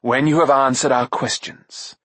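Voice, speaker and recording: A man's voice: medium pitch, fairly even intonation, measured pace, a bit bright, breathy edge, no echo. A man voicing a stern, commanding warrior prince who speaks firmly and with impatience. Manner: firm, severe, impatient.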